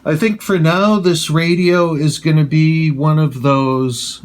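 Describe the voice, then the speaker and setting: Man, unhurried pace, deliberate and plain-spoken, casual hobbyist product review. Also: on the high side, fairly even intonation.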